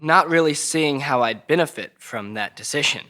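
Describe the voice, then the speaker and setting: A man's voice: a little high, animated, normal speed, bright, forceful tone. A man playing a defiant young murder suspect in a dramatic table read, flippant and sarcastic.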